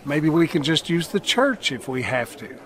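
An actor playing a calm, helpful father in a radio drama. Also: fairly high pitch, moderate pace.

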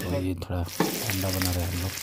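A man's voice: low voice, completely flat delivery, normal speed. A man in his thirties from Odisha with an Odia accent, explaining things casually and repetitively as he narrates a vlog on a handheld phone. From Odisha, India. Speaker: village vlogger. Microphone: phone at arm's length. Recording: noisy handheld phone recording.

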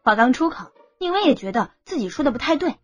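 A woman's voice: sits high, very animated, quick pace, husky, highs cut off, faint music behind. A woman narrating a scripted story as an audiobook reader.

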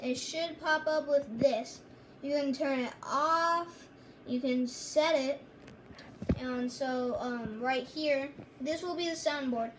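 Female tutorial host, high voice, casual and halting step-by-step delivery, noticeably noisy handheld recording. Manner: halting, repeats and corrects herself. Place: indoors at a home computer. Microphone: handheld phone or camera, filming screen.

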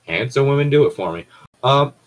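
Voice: medium pitch, lively intonation, a little fast. A person chatting casually and bantering with friends as co-host of a fan fiction reading.

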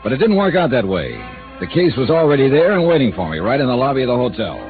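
A man's voice: mid-range voice, sing-song, fast talker, lightly acted. A man voicing a dry, wry insurance investigator who narrates a 1950s-style radio drama. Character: wry hard-boiled male insurance investigator. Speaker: narrator and lead. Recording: old lo-fi radio broadcast with music.

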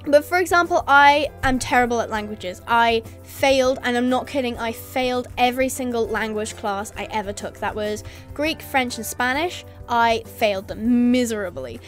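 High-voiced young woman vlogger. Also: normal speed, hardly any pauses, faint music behind. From England. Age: young adult. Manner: chatty, laughs often, many ums. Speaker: YouTuber. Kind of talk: casual vlog reading viewer comments. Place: indoors in front of a green screen. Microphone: facing camera at close range.

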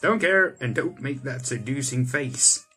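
A man's voice: mid-range voice, expressive, measured pace, pushed and bright. A young man, a gaming YouTuber, reading visual novel text aloud and adding his own asides. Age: young adult. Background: game music.

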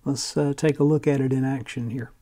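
Man with a flat, halting delivery. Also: medium pitch. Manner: halting, hesitant delivery in short phrases. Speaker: electronics repair channel host, demonstrator. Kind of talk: informal hands-on tutorial demonstration. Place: indoors at a workbench.

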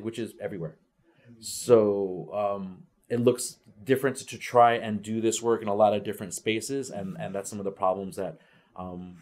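A man in his forties speaks on a seated panel in a lecture room, his voice sitting a little low. He talks earnestly, stopping and restarting his sentences as he thinks, picked up by a camera mic from across the table. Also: a little mellow. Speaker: ethnic studies teacher coach. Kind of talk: panel talk to students. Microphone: far camera.

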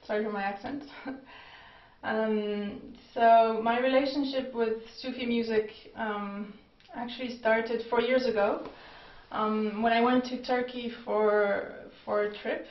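A young woman talks between pieces, hesitant and gentle, filling gaps with ums. Her voice sits a little high but barely rises or falls. She is caught from across an echoey room at home, and the sound is dull and lo-fi. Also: steady tone, measured pace, distant mic. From Switzerland. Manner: hesitant, many ums, soft-spoken. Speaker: pianist introducing her home concert. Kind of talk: casual spoken introduction between pieces. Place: home room beside a grand piano. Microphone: far camera.

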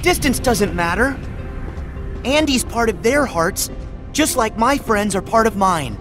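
A plucky, loyal teenage boy hero from a video game. His voice is very high and lively, with earnest emphasis. Music plays behind him. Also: a little slow. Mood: defiant, urgent. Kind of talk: video game cutscene acting.

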